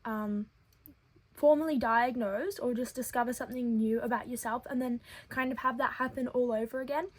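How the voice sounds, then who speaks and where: Young woman, childlike head voice, a little high and breathy, earnest personal vlog. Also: steady tone. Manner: earnest, thoughtful, with frequent filler pauses. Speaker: web series creator.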